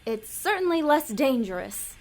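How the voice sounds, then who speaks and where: A woman playing a bossy, impatient demon posing as a talent developer in an audio comedy, brisk and sarcastic. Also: high-pitched, big pitch swings. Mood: brisk coaxing. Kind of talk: audio comedy drama acting.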